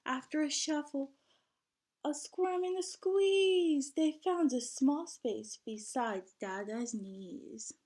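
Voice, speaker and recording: A woman with a high voice reads a picture book aloud for young children. Her pace is slow and her delivery expressive. She speaks like a teacher, stepping out of the text to question her listeners.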